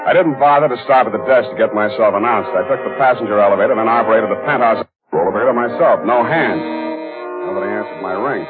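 An actor playing a wry, unflappable hard-boiled private detective in a 1940s radio drama, clipped in delivery. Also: male voice, on the low side, fast, natural voice. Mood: terse, grim. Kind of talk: 1940s radio detective drama, dialogue and first-person narration. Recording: tinny old radio recording, loud music behind.

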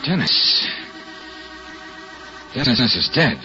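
An actor playing a wry, charming amateur detective in an old radio drama. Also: male voice, medium pitch, sing-song, extremely slow, pushed and bright, heavily acted. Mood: breezy. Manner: breezy, teasing. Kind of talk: 1940s radio acting. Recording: lo-fi old radio broadcast with music.

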